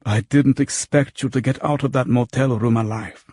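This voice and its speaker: A man's voice: mid-range voice, fairly even intonation, moderate pace, darkish tone, no room sound, clean studio sound, voice pushed up, natural voice. A man voicing a pushy, amiable, corrupt cop who wheedles and haggles theatrically in an audiobook. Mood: amiable, impressed. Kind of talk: acted audiobook dialogue.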